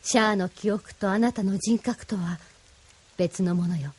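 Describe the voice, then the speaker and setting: A woman's voice: medium pitch, measured pace, darkish tone, husky. A woman playing an idealistic, solemnly persuasive mentor in a Japanese audio drama, with a didactic, feminine way of speaking. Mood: reassuring.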